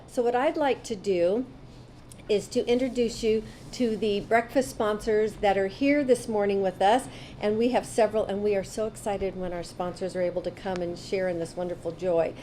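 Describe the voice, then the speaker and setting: A woman's voice: medium pitch, steady tone, moderate pace, warm tone, slight background noise. A woman emceeing a student awards breakfast, graciously thanking volunteers and guests one by one. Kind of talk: ceremony announcements.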